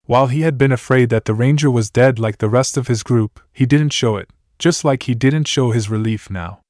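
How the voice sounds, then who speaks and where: A man reading a fan fiction story aloud in a steady, plain way. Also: mid-range voice, moderate pace, no echo, clean studio sound. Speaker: narrator.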